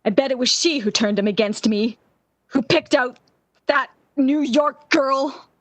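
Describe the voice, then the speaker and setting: A woman's voice: high voice, unhurried, no echo. An actress playing a lovesick, obsessive jilted young woman in the impassioned style of 1940s radio drama. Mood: bitter, resentful. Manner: melodramatic, yearning, impassioned.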